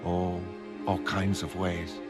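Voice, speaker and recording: A man's voice: sits low, completely flat delivery, takes it slowly. A man voicing a frank, affectionate adult woman with a casual, playful, soothing manner in intimate drama dialogue. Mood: teasing, flirtatious. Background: loud music.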